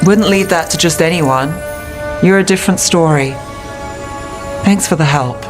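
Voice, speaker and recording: A woman's voice: lowish voice, moderate pace, close to an everyday voice. A woman playing a cool, world-weary detective in a video game, blunt and guarded, with dry, terse, matter-of-fact delivery. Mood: subdued. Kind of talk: video game character acting. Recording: music behind.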